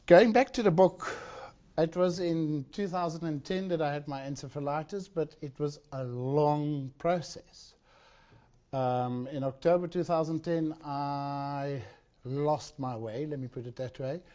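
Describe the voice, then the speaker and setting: A man with a slightly high voice gives a personal talk at a conference, often stopping, restarting and repeating words. The recording is dull and lo-fi. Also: mellow. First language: not Dutch. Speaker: conference speaker. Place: conference hall.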